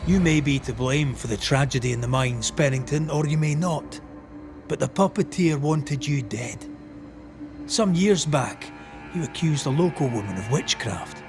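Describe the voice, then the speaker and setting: An actor playing a solemn ghost hunter in a video game, deliberate and authoritative as he interrogates and passes judgement. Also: a little high, music in the background, close to an everyday voice. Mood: measured accusing. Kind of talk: dramatic video game acting.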